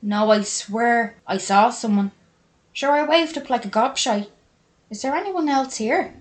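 A woman's voice: on the high side, expressive, measured pace, voice pushed up. A woman narrator puts on a playful Irish woman from an audio story. She has a Dublin accent and a chirpy, teasing way of talking. She comes across as down-to-earth and cheeky. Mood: teasing, puzzled.

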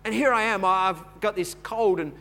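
A man preaching a sermon in a conversational, storytelling way, repeating words as he goes and building from quiet chat to louder preaching. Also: sits high, normal speed. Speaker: pastor.

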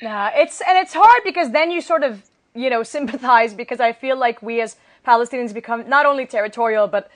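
A woman with an American accent interviewing a guest in a quick, chatty way. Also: on the high side. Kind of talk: casual interview conversation.

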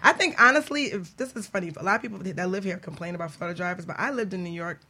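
A woman's voice: lowish voice, very fast, pushed and bright. A woman hosting a talk show in a chatty, joking, casual way. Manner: chatty, joking, laughs often. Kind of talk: casual chat.

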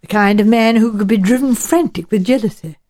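Shrewd, genteel old English lady detective for audiobook woman performing; soft-edged tone, thoughtful and chatty. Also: medium pitch, a little fast, lightly acted. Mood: grave, thoughtful. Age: elderly. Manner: musing, hedging, politely chatty. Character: shrewd, genteel elderly village spinster turned amateur sleuth.

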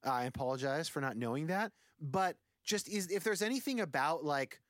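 A man's voice: a little high, animated, a little fast, fairly bright tone, no echo, clean studio sound. A man in his forties, a musician guest on a podcast, talking casually in a rambling, thoughtful way with many fillers. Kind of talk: casual conversation.

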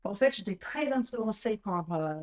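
A woman's voice: mid-range voice, animated, moderate pace. A woman in her forties with an American accent, a brand founder talking in a casual interview, chatty with frequent restarts. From United States.

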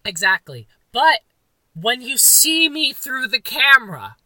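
Man with a bright, very high voice. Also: sing-song, takes it slowly, no room sound, rough recording. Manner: joking, campy, playfully dramatic. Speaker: podcast co-host. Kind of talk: casual chat.

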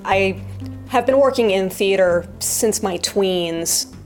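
A woman talking reflectively in an interview as a Foley artist, articulate and thoughtful, with measured phrasing. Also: medium pitch, soft background music. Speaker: guest, Foley artist and theater performer.

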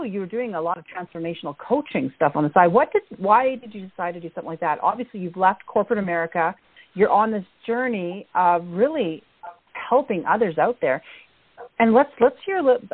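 Effusive husky woman host, phone line. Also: medium pitch, animated, a little fast, dry recording. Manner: effusive, praises the guest often. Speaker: podcast host. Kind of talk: interview hosting. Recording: dry, tinny phone-like line.

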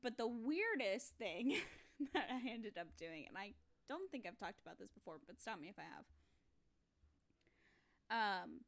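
Lively giggly young woman. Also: mid-range voice, measured pace, creaky, long pauses. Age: young adult. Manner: giggly, rambling storyteller. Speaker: podcast co-host. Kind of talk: casual chat.

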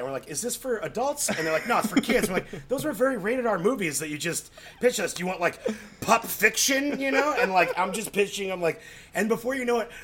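A man with an American accent and a fairly high voice tells stories in a casual, effusive way. He rambles, repeats words for emphasis and acts out what people said, with some noise behind him. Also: a bit bright. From Colorado, United States. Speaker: podcast guest, comedy writer. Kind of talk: casual, animated storytelling.

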